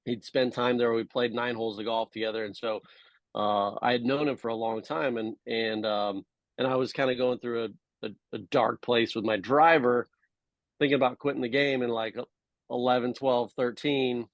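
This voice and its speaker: A man from Hawaii chatting casually as an interview guest, telling his story in a relaxed, halting way. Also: mid-range voice, dry recording, highs cut off. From Hawaii, United States. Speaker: podcast guest, former tour golfer and coach.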